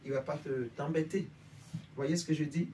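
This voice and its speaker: A man from Benin gives an informal sermon to a small congregation in a conversational voice that sits a touch high. He keeps asking his listeners whether they follow him. He is recorded from a distance, with faint noise behind him. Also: fairly even intonation, fairly quick, dark tone. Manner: conversational, asks listeners for agreement. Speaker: preacher.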